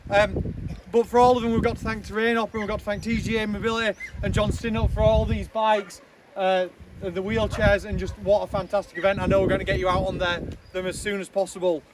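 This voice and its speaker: High-voiced man speaking loudly outdoors. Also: flat delivery, a little fast. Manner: loud, chatty, joking asides, many ums. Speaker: speaker at a charity launch. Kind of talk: informal outdoor speech. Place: outdoors in the snow with a crowd. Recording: noisy outdoor recording.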